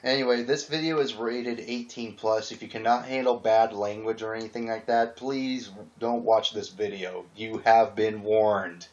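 A man's voice: on the low side, fairly even intonation, moderate pace, hardly any pauses, darkish tone. A man hosting a group fan fiction reading over an online voice call, talking casually with his friends. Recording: muffled lo-fi voice-call sound.

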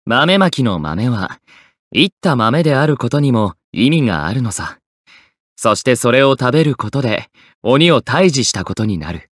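A man's voice: medium pitch, big pitch swings, normal speed, dry recording, studio quality. A man voicing a cultured, dignified sword warrior in an anime game, composed and explanatory. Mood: composed, knowing.

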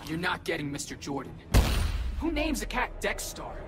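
An eager young superhero from a video game. The delivery is casual and cheeky, jumping around in pitch with each wisecrack. Music is loud underneath. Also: male voice, very animated, normal speed. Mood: defiant. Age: young adult. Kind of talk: video game character acting.

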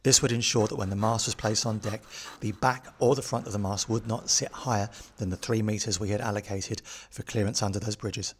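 A middle-aged man who co-hosts a sailing vlog as skipper, talking in a relaxed, easygoing way and narrating the boat work. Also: lowish voice, fairly quick, hardly any pauses, husky. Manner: relaxed, easygoing, trails off mid-sentence. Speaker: skipper and co-host. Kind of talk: casual chat and voice-over narration.

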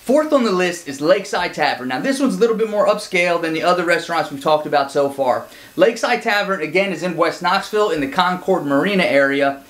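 A man in his forties, a real estate agent hosting his channel, chatting to camera with lively hand gestures in an indoor studio set. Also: a little high. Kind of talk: scripted to-camera presentation.

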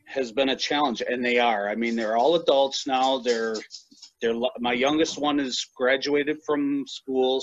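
A middle-aged American man talks slowly over a video call. He answers thoughtfully and often restarts a phrase while he finds the right words. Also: medium pitch, lo-fi sound. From United States. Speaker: guest.

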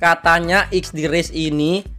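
A young man with a slightly hoarse voice, giving casual, excitable gameplay commentary full of slang and exclamations. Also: a little high, unhurried, soft background music. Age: young adult. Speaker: gaming video creator.

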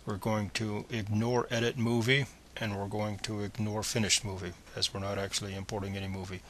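A man with a fairly low voice and a bright, forward edge gives step-by-step computer instructions. He is plain and methodical, speaking as a screencast presenter. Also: level tone, a little fast, a little hiss or hum. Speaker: tutorial presenter.

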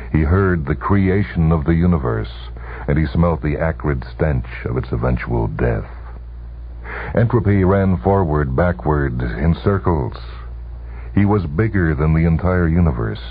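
A man giving a dramatic scripted narration in 1970s radio style, grave and intense, with deliberate phrasing. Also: extremely low pitch, gravelly. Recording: tinny, faintly noisy old radio recording.

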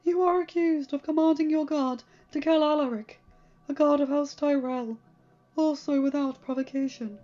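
A woman's voice: high-pitched, steady tone, moderate pace, mellow, voice pushed up, lightly acted. A woman putting on a wheezy old maester. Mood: formal. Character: wheezy old male grand maester, formal. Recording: dull lo-fi with music behind.

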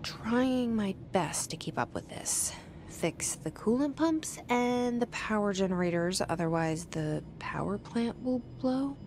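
A woman's voice: mid-range voice, lively intonation, a little slow, darkish tone, a little hiss or hum. An actress playing a wry, guarded young woman thinking to herself in a video game, dry and understated. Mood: dry, wary. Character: wry, guarded young woman, reluctant new agency director. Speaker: protagonist, new director of an agency. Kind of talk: inner monologue in a video game.

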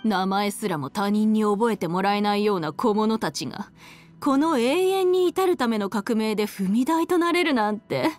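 A woman playing a haughty, cruel, elegant villainess in a Japanese anime game, with a condescending, mocking, drawn-out aristocratic delivery. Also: mid-range voice, expressive, lightly acted. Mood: scornful. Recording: studio voice over game music.

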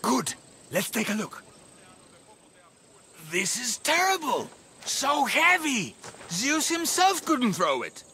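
An actor playing an anxious, superstitious young male athlete in a video game, pleading and excitable. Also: very high voice, takes it slowly, long pauses, slight background noise, heavily acted. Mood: disgusted. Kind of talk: video game character acting.

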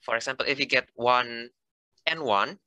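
A man in his twenties from Indonesia, an international student explaining things haltingly on a video call. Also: mid-range voice, pushed and bright, smooth, no room sound. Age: 20s. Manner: halting, searching for words in English.

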